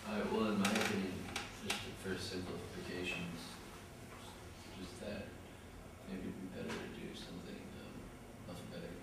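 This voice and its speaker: Low-voiced male student, tentative, heard distantly in an echoey classroom. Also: flat delivery, moderate pace, vocal fry. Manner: hesitant, many fillers and restarts. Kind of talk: classroom discussion. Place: lecture classroom. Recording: echoey classroom from far off, noisy.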